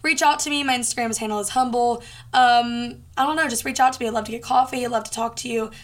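Young female podcast host, late teens, highish voice with a hoarse rasp, informal and encouraging, chatty solo delivery. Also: fairly even intonation, fast. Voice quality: hoarse, raspy. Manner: informal, earnest, encouraging, peppered with "like". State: hoarse from a lot of talking. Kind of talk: casual solo podcast chat.

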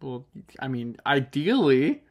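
A young man co-hosts a relaxed chat with his partner. He talks in an easygoing way and slips in dry jokes. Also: mid-range voice, slow pace. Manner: laid-back, dry joking. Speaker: podcast co-host. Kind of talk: casual couple's chat.

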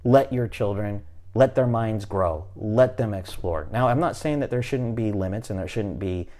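A man in his fifties hosting a podcast, talking in a relaxed, motivational way and often checking in with "right?". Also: fairly low pitch, normal speed. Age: 50s. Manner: conversational, repeats "right?" often, restarts phrases. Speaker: podcast host. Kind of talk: casual motivational chat.

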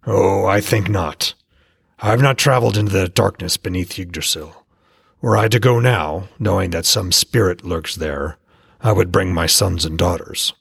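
A proud, fearless old war god, voiced by a man, haughty and sneering. His voice is deep with a gravelly edge and a husky grain, recorded close and dry. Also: moderate pace, fairly bright tone, no room sound, heavily acted. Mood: scornful. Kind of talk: audiobook character voice.

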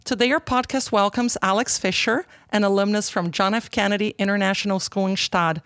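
A woman hosts a podcast in a polished, enthusiastic manner. Her voice sits at a middle pitch and sounds dry, with little room around it. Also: steady tone, brisk, a bit bright, no room sound. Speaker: podcast host. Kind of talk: scripted podcast interviewing.

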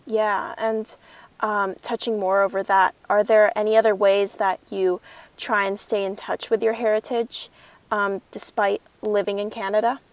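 Young adult woman interviewer, creaky fry, dry close sound, halting friendly questions. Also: medium pitch, little pitch movement, dry recording. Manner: hesitant, many ums, friendly.

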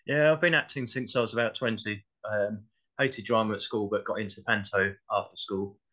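A man's voice: lowish voice, steady tone, fairly quick, bright, forceful tone. A man speaking as an interview guest in a casual, modest and reflective way. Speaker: interview guest, playwright and actor.

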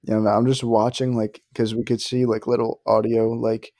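A man's voice: medium pitch, level tone, normal speed, dark tone, no echo, studio quality. A young man co-hosting a casual podcast with his roommate, laid-back and casually swearing. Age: young adult. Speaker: podcast co-host. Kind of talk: casual chat. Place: shared apartment at night. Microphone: podcast microphone.

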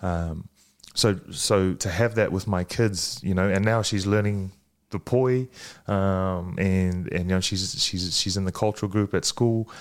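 Deep-voiced hesitant New man. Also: fairly even intonation, a little slow, few pauses. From Fiji and New Zealand. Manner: hesitant, many ums and restarts. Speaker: guest. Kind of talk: casual conversation.

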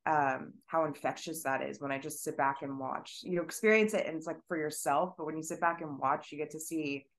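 A woman's voice: deep voice, fairly even intonation, brisk. A woman dancer in a podcast conversation who speaks earnestly and searches for her words.

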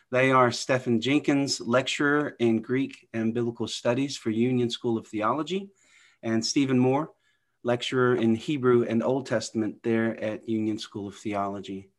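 A man hosting an interview podcast, upbeat and affirming, introducing guests and asking questions. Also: medium pitch. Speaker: podcast host.

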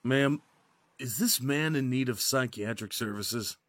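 An actor playing a skeptical, by-the-book highway patrol officer in an audio drama, official and matter-of-fact. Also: male voice, unhurried, fairly bright tone, no echo, close to an everyday voice.

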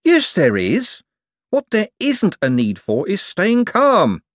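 A man voicing a wry, exasperated, fast-thinking man who argues sardonically in an audiobook story. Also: mid-range voice, sing-song, dry recording, clean studio sound, voice pushed up. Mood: exasperated, outraged. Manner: sardonic, argumentative.

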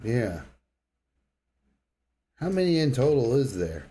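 A man co-hosting a podcast in relaxed, casual conversation. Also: medium pitch, takes it slowly, slightly rough. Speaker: podcast co-host. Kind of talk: casual chat.

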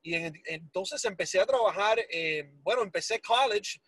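A man in his forties with a Cuban Spanish accent, telling his life story as an interview guest in a lively, self-deprecating way, slipping in English words. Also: sits high, moderate pace, breathy edge, no room sound. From Miami, United States. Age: 40s.

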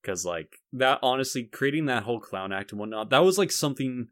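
A young man co-hosting a podcast, reminiscing casually in a halting, rambling way with frequent repeated words. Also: mid-range voice, expressive, fairly bright tone, dry recording, clean studio sound. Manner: halting, repetitive, rambling delivery. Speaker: podcast co-host.

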